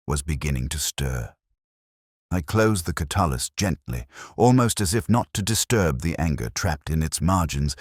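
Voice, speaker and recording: Very low male voice, scripted mystery narration, brooding, introspective, measured delivery, dry close sound. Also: husky, dry recording. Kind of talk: scripted first-person mystery narration, literary audiobook.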